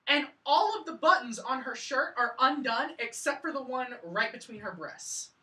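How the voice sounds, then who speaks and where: A bright, animated woman talks casually as co-host of a review show. She sounds exasperated and expressive. The room is echoey and she sits back from the microphone. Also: sits high, normal speed. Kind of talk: casual chat, recapping and critiquing. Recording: roomy echo, distant from mic.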